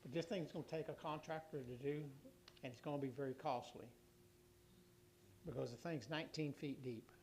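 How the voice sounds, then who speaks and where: A hoarse man giving a city council utility report, rambling and halting with many restarts and fillers. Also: a little high, a little mellow, slight background noise.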